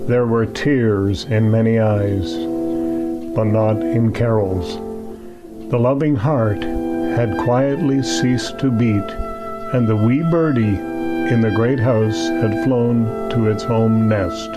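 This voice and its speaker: A man's voice: fairly low pitch, fairly even intonation, slow pace. A man as a solemn, tender storyteller, reading scripted narration in an audio drama. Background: loud violin and guitar music.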